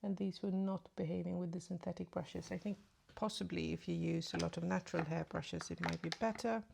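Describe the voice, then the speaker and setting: A woman chatting casually through a makeup tutorial, speaking softly and often half-whispering, with a slight cold and a sore throat. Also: lowish voice, steady tone, a little fast, gravelly, a little hiss or hum. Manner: soft, often half-whispered asides while applying makeup. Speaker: beauty vlogger. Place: at home in front of a mirror.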